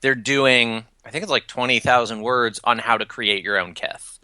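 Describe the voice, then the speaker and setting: A man's voice: medium pitch, normal speed, pushed and bright, smooth, no room sound. A man chatting casually on a podcast, enthusiastic and filling his talk with "you know" and "I mean".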